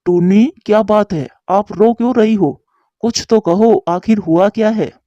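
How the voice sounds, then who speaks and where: A woman's voice: mid-range voice, unhurried, warm tone, no echo, lo-fi sound, lightly acted. A woman voicing a caring, devoted male pigeon husband in a cartoon, with a concerned, reassuring manner. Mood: concerned, shocked. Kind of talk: Hindi cartoon voice acting.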